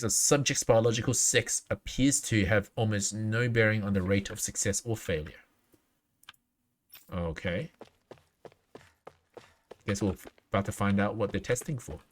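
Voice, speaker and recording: A man with a fairly deep voice plays a game on a livestream. He reads dialogue aloud a bit haltingly and breaks off to comment. The microphone picks up clear background noise and clicking. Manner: reads on-screen text aloud haltingly, interjects reactions. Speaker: livestreamer playing a video game. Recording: clearly noisy mic with clicks.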